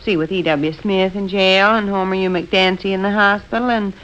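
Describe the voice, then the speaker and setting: Woman playing dry teasing american mom, old radio comedy, sharp bright voice, muffled sound. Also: mid-range voice, fairly even intonation, normal speed, smooth, natural voice. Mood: dryly teasing. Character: dry, teasing small-town housewife and mother. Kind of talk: 1940s radio comedy acting.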